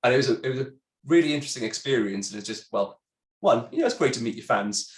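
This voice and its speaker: A man's voice: mid-range voice, animated, quick pace. Congested man presenting, roomy echo. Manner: chatty, many ums and restarts. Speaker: sales director presenting a webinar. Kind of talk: webinar presentation.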